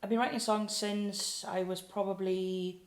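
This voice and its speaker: Welsh woman, late 20s, casual guest, mid pitch, echoey room. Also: a little slow. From South Wales. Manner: chatty, relaxed, with frequent fillers. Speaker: guest singer-songwriter. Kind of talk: casual interview chat. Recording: roomy echo.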